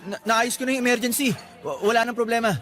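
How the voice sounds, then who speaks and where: A man's voice: very high-pitched, steady tone, fast talker, a bit bright, soft background music. A man playing a nervous, evasive two-timing boyfriend in a radio drama, prone to stammering when flustered. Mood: flustered, panicky. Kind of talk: Tagalog radio drama acting.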